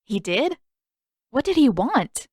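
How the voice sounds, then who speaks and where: A woman's voice: fairly high pitch, big pitch swings, takes it slowly, darkish tone, breathy edge, no echo, clean studio sound, pitched above the performer's own voice, heavily acted. A woman voicing an earnest, eager teenage girl in a fantasy audiobook, with a frank and informal manner. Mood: flustered.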